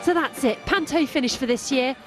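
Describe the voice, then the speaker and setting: British woman narrating over music, fairly high voice. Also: fairly quick. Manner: wry, self-mocking. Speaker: narrator and presenter. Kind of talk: light-hearted scripted voice-over narration.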